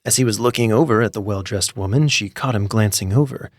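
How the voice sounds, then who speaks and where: A man narrating a scripted comic story in an animated, wry way. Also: fairly low pitch, a little fast, no echo, studio quality. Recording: music behind the voice. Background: background music.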